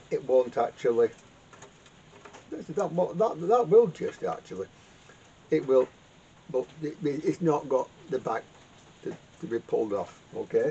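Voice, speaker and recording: Halting man, dull noisy recording. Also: mid-range voice, warm tone. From United Kingdom. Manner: halting, rambling, repeats words as he explains. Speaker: vintage radio repairer and video host. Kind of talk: casual unscripted workshop demonstration. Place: home garage workshop. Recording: dull lo-fi sound with clear background noise.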